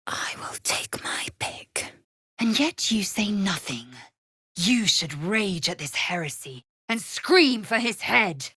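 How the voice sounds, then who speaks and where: An actress playing a fierce, proud alien warrior woman in a video game, with a raspy voice and curt, imperious, formal diction. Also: medium pitch, expressive, takes it slowly, bright, forceful tone, no room sound, studio quality, heavily acted. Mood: stern, scornful.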